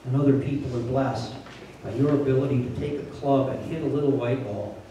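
A man's voice: medium pitch, brisk, warm tone, dull audio, slight background noise. An older man preaching a sermon from the front of a church on a handheld mic, plainspoken and conversational, rising to urgent emphasis. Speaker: pastor. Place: large church sanctuary. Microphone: handheld mic, filmed from a distant camera. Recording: very echoey church from far off.

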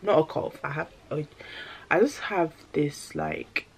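A woman, chatting casually in a product review vlog, a little rambling and full of fillers, her voice slightly deepened by a cold. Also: wildly swooping pitch, measured pace, gravelly, a little hiss or hum. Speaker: vlogger. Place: small bedroom indoors.

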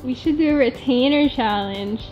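A woman's voice: high-pitched, moderate pace, lo-fi sound, faint music behind. A young woman chatting and teasing her boyfriend at home off camera. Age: young adult. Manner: teasing, giggly. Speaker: vlogger's girlfriend. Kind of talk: casual chat. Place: apartment indoors.

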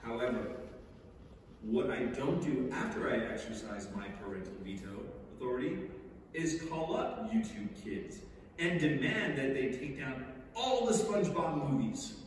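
A man's voice: mid-range voice, animated, measured pace. Emphatic man orating in echoey hall. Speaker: stage reader. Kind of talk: prepared speech read from a podium. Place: large indoor theater stage. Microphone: far camera. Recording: very echoey theater hall, distant mic, hiss.

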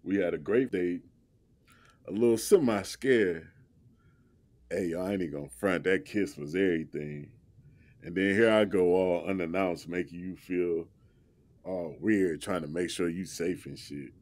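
A man's voice: low-pitched, measured pace, darkish tone. An American actor playing a protective young man on a date, speaking earnest, slangy dialogue in an audio drama. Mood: reassuring, protective.

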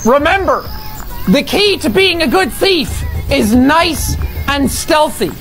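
Sly female thief acted by a woman, higher voice, deliberate and instructive, music behind. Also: lively intonation, heavily acted.

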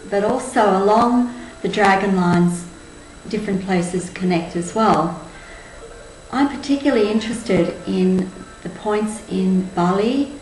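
A woman in her sixties giving a measured, earnest spiritual talk to camera. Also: mid-range voice, darkish tone. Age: 60s. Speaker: spiritual group tour leader and presenter. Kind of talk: spoken presentation to camera. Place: indoors, small room with cloth backdrop. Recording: roomy echo, faint background noise.

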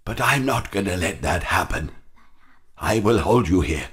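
A man voicing a theatrical elephant animatronic in a game, with a husky voice. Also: low voice, animated, unhurried, a bit bright, heavily acted. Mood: possessive.

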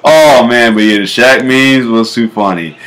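A man in his thirties with a low bass voice, casually reacting to memes at home, laughing often and breaking into off-key singing. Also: measured pace, noisy background. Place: home kitchen, indoors. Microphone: webcam at close range.

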